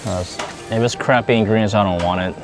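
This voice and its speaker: A man chatting casually to his viewers as he shops in a grocery store, thinking out loud and repeating himself. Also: lowish voice, fairly even intonation, a little fast. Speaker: vlogger. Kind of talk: casual vlog chat.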